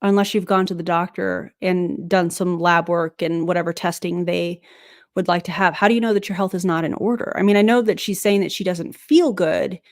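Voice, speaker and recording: Woman, livestream commentator, low-ish voice, blunt, skeptical, sarcastic, dry close sound. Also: fairly even intonation, brisk, dry recording. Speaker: anti-MLM commentator reacting to a clip.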